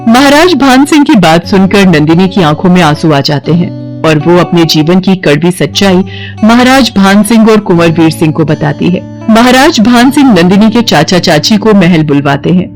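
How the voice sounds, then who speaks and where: A woman's voice: on the low side, animated, moderate pace, soft background music. A woman narrating a scripted moral story as the storyteller.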